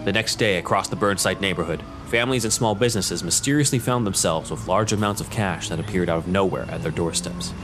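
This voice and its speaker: A man narrating an audio drama in a steady, measured storytelling manner. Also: low voice, over music.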